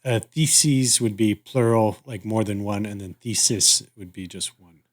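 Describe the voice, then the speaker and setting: A man hosting a reflective podcast discussion, speaking in a measured, thoughtful way with pauses between phrases. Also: on the low side. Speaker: podcast host.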